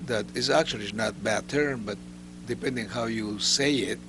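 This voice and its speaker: A man's voice: fairly low pitch, measured pace, noisy background. A man hosting a studio interview, speaking with effusive praise. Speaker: interview host. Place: recording studio.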